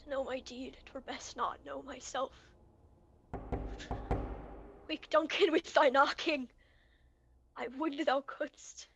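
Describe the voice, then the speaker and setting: A young woman playing a guilt-stricken, fearful lord who has turned murderer, in slow, dramatic amateur Shakespearean verse. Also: high voice, fairly even intonation, gravelly, noisy background. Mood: despairing remorse. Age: young adult. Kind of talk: amateur student Shakespeare performance, recorded remotely.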